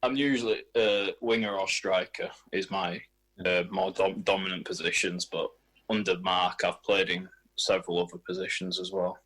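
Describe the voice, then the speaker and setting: Deep-voiced young man in an interview. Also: fairly even intonation, normal speed, a bit bright, rough recording. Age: 20s. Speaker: footballer being interviewed. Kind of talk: casual radio interview.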